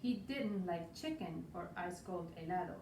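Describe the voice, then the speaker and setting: A woman in her forties from Spain with a Spanish accent, reading a rhyming children's picture book aloud in a lively, rhythmic way, sprinkling in Spanish words. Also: lowish voice, normal speed. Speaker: storytime reader. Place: small room indoors. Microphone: far camera. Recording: roomy, distant, faint hiss.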